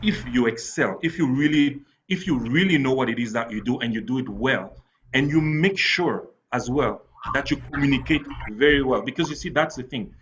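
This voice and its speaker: A man's voice: mid-range voice, normal speed, a bit bright, a little hiss or hum. A man from Cameroon, an entrepreneur, telling frank stories on a webinar panel and repeating phrases for emphasis. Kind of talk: candid storytelling on a webinar panel. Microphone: video call. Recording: dull lo-fi video call audio.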